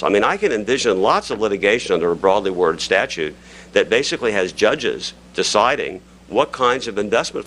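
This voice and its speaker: Low-voiced man, animated and argumentative. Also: rough recording. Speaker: panel discussant posing questions. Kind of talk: extemporaneous conference remarks. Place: live conference session. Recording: noticeable background noise, live event.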